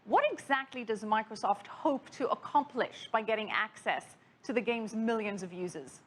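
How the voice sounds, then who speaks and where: A woman's voice: fairly high pitch, moderate pace. A woman anchoring a broadcast news interview, putting questions to a guest.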